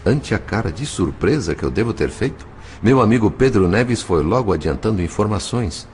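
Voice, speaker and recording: An actor playing a compassionate spirit chronicler in a dramatized audiobook, narrating in an expressive, measured way. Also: male voice, lowish voice, slight background noise, close to an everyday voice. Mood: reflective. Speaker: narrator and character.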